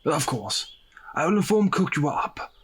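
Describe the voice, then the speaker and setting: Polite rich gent, kind and hesitant, husky, lots of up and down. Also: male voice, fairly high pitch, big pitch swings, moderate pace. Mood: kindly. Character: courteous, kindly, hesitant well-to-do gentleman. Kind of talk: audio drama acting.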